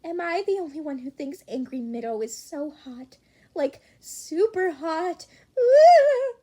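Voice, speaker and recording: A woman's voice: very high-pitched, very animated, slow, warm tone, voice pushed up, full character voice. A woman voicing a gushing, dramatic teenage hero student who is lovestruck, in an audiobook. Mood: gushing, squealing.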